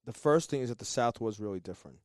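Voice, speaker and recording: A man's voice: mid-range voice, expressive, fast, a little mellow, clean tone, no echo, clean studio sound. A man co-hosting a podcast, talking emphatically and giving opinionated advice in casual conversation. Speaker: podcast co-host.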